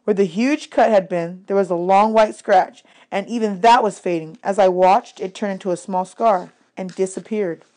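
Female audiobook narrator, medium pitch, expressive read-aloud of children's adventure fiction. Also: normal speed, few pauses.

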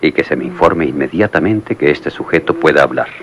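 An actor playing a courteous, determined police lieutenant in an old radio drama. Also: male voice, very deep voice, lively intonation. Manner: courteous, measured. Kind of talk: 1950s-style radio drama acting. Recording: dull, noisy old radio recording.

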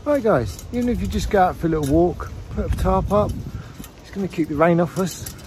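A man's voice: sits high, expressive, a little fast. A man hosting an outdoor vlog, chatting casually and rambling as he walks through winter woodland. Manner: chatty, rambling, laughs often. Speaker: outdoor vlogger and channel host. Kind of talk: casual walk-and-talk vlog. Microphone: camera mic on a stand outdoors. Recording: wind noise on an outdoor mic.

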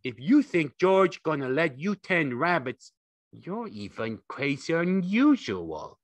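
A man's voice: a little high, sing-song, slow, no echo, voice pushed up. A man voicing a gigantic imaginary rabbit in an audiobook, scornful and cruelly taunting in coarse speech.

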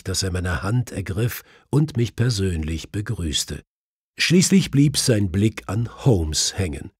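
A man reading a detective audiobook in a measured, formal literary style. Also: on the low side, husky, dry recording, studio quality. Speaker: audiobook narrator. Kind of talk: scripted literary narration of a detective story.

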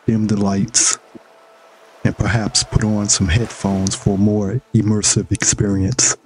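A man narrating a scripted guided meditation in a measured, instructional way with long pauses between phrases. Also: on the low side, level tone, slight background noise.

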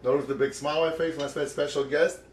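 Middle-aged male cooking host, chatty and joking, a bit higher voice, home kitchen. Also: fairly even intonation, fast, noisy background.

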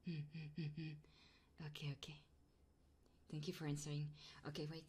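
A woman live streamer chatting casually and playfully in a soft, breathy ASMR style, often whispering, close to the microphone. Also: sits low, level tone, normal speed, slight background noise. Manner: casual and playful, swearing freely.

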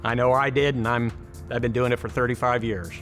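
Male, 50s, mid-pitched program director, interview delivery over soft music. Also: steady tone, a little fast. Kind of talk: promotional interview. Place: large aircraft hangar.

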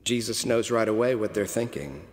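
A middle-aged man preaching a sermon from a pulpit, telling the story slowly and deliberately with dramatic pauses. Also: fairly low pitch, animated. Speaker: cathedral dean preaching. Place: large stone cathedral, at a pulpit. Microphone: pulpit microphone. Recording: long cathedral reverb.

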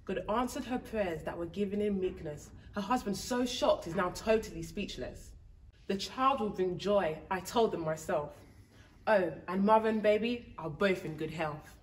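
A woman playing a calm low-voiced angel. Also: far from the mic, natural voice, low-quality recording. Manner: soothing, matter-of-fact rhyming delivery. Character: calm reassuring female angel messenger.

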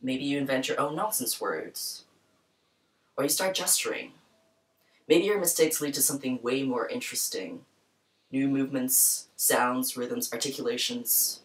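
A young woman hosting a program, speaking in a soft, thoughtful, personal way in a small room. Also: very deep voice, a little slow, recorded from a distance, poor audio. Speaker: program host. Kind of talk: reflective spoken introduction. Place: small bright room with plants, indoors.

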